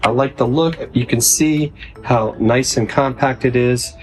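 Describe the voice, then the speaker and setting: A man's voice: medium pitch, a little slow, faint music behind. A man giving a casual, conversational product demonstration as a video reviewer.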